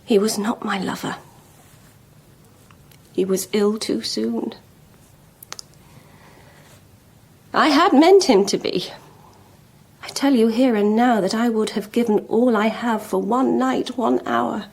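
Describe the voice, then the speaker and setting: An actress playing a proud, lovelorn schoolmistress in a period radio drama, speaking frankly and deliberately with emotional intensity. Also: female voice, on the high side, creaky, darkish tone, husky, exaggerated voice. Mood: bitter, resolute. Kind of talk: BBC radio drama acting.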